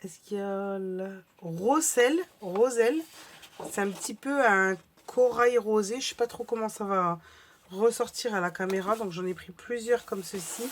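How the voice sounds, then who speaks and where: A woman vlogger with a slightly hoarse voice, chatting casually through a crafting haul with many fillers and restarts. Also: mid-range voice, slight background noise.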